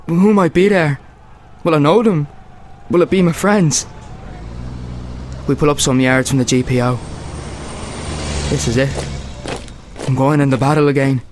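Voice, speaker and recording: An actor playing a weary, anxious young Irish soldier of 1916 in a radio play. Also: male voice, fairly high pitch, fairly even intonation, a little slow, long pauses, darkish tone, a little hiss or hum, close to an everyday voice. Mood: tense, apprehensive.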